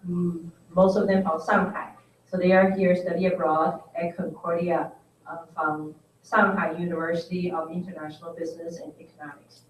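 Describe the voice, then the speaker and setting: A woman from Taiwan with a Taiwanese accent, a program director giving halting introductory remarks at a forum, with many fillers. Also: on the low side, slight background noise. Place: conference center. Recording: echoey hall, mic from a distance.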